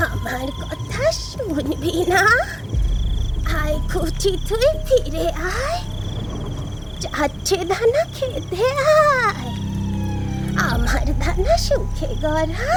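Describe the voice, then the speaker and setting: A woman's voice: very high voice, exaggerated voice. A woman playing an old village exorcist with a rural Bengali accent in a horror audio drama, coaxing in a sing-song incantation. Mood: chanting. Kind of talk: horror audio drama acting, chanted folk rhyme.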